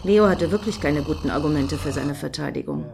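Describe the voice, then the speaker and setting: An actress playing a scheming, grandly solemn woman in a satirical radio play, speaking in deliberate, lofty phrases. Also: low voice, gravelly, a little mellow, soft background music. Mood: brooding.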